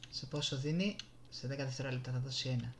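A man with a Greek accent doing casual live gameplay commentary, excitable, exclaiming and muttering to himself into a desktop gaming microphone. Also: medium pitch, creaky, noisy background. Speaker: gaming YouTuber.

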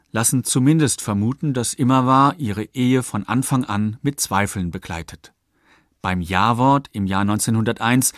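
A man narrating a scripted documentary in a factual, measured way. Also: lowish voice, dry recording, studio quality. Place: dry studio.

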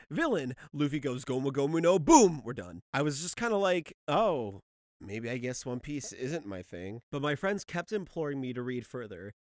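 A young man with a slightly high voice that moves around a lot in pitch as he narrates a video essay. He is animated and slangy, mixing scripted points with casual, sweary asides. The sound is very dry and close, with some music underneath. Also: no echo.

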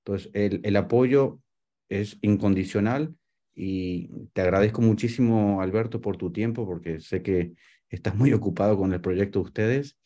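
A man's voice: lowish voice, normal speed, mellow. A middle-aged man from Venezuela and Uruguay, a real estate advisor giving closing remarks as host on a webinar video call. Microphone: video call microphone.

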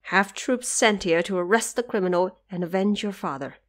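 A woman's voice: mid-range voice, normal speed, natural voice. A woman voicing a devoted, anxious noblewoman and mother who instructs earnestly in an audio drama.

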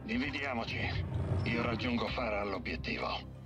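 An actor playing a decisive special forces captain in a military game, terse and commanding. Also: male voice, mid-range voice, lively intonation, moderate pace, over loud music, close to an everyday voice. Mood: focused. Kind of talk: cinematic military game acting.

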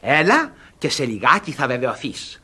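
An actor playing an agitated, self-satisfied, mocking brother in a classic farce, in a theatrical radio style with sarcastic, emphatic exclamations. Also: male voice, mid-range voice, wildly swooping pitch, bright, forceful tone, husky, heavily acted. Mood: exasperated, urgent. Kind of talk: theatrical Greek radio comedy acting.

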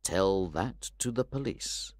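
A stern older gentleman sets out his accusations in a low, measured voice with lively inflection, voiced by a man reading the story. He is firm and unhurried, and the recording is dry. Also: no room sound.

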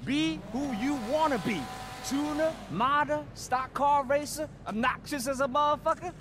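An actor with an American accent playing a flamboyant, chatty young car-meet regular in a video game, with exuberant, drawn-out showman delivery. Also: extremely high pitch. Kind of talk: video game cutscene acting. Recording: noisy car meet ambience.

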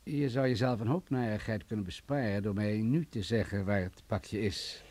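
An actor playing a menacing, wealthy crime boss in a radio play, coolly sadistic, condescending and mocking. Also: male voice, on the low side, fairly even intonation, moderate pace, a little mellow, close to an everyday voice. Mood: threatening. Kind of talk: vintage Dutch radio play acting.

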